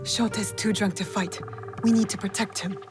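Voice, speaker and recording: A woman's voice: mid-range voice, fairly even intonation, normal speed, close to an everyday voice. An actress playing a determined young female shinobi in a video game, speaking bluntly and with urgency. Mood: impatient. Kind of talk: video game cutscene acting. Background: music.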